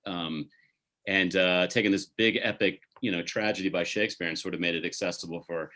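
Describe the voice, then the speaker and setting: A man with a low-pitched voice hosts an online panel discussion. He speaks in loose, rambling sentences, circling toward his point as he moderates. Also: a bit bright. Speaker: host and artistic director.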